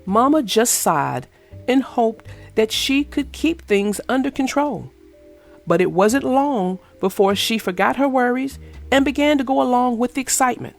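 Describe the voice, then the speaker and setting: Expressive woman reading a children's book. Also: a little high, moderate pace, creaky, soft background music. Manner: expressive, sing-song storytelling. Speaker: storybook reader. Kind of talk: children's read-aloud narration.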